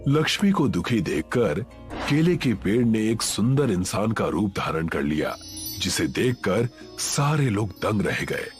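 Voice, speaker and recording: A male storyteller narrates a scripted tale with very lively intonation. His mid-pitched voice sits over faint music. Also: unhurried.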